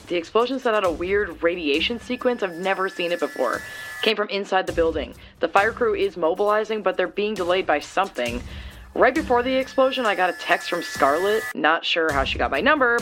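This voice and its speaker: A woman's voice: fairly low pitch, animated, brisk, fairly bright tone. An actress playing a nervous female tech ally who gives clipped alerts to a superhero in an audio drama. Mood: nervous, alarmed. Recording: music under the voice. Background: music.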